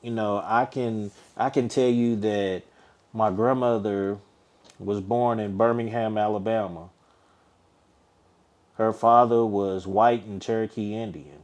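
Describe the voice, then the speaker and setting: American guy in an interview, slow and thoughtful, lowish voice, keeps restarting bits. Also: fairly even intonation, darkish tone. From United States. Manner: measured, reflective, restarts phrases. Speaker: guest. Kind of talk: candid interview talk.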